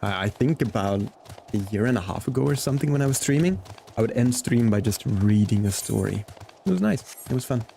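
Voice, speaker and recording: A man in his twenties streaming a video game, chatting casually and relaxed with viewers. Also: mid-range voice, brisk, gravelly, a little hiss or hum. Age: 20s. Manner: chatty, relaxed, chats with viewers while playing.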